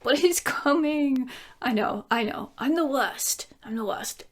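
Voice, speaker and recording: A woman's voice: sits high, animated, a little slow. A woman in her thirties from England, chatting casually to camera as she answers viewer questions, giggling often and rambling with lots of fillers. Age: 30s. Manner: breaks into bits of song.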